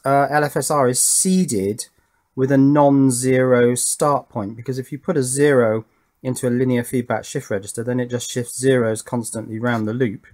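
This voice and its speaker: A man's voice: medium pitch, darkish tone. A man giving an unscripted technical explanation in a musing, methodical way, as the presenter of an electronics tutorial. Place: indoors at a workbench.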